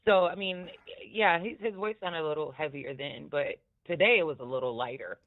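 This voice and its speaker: A woman's voice: deep voice, normal speed. A woman with a smoky voice chatting casually and candidly about podcasts, swearing freely and prone to laughing. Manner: candid, profane, laughs often. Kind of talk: casual chat, commentary on podcasts. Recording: thin, tinny phone-line audio.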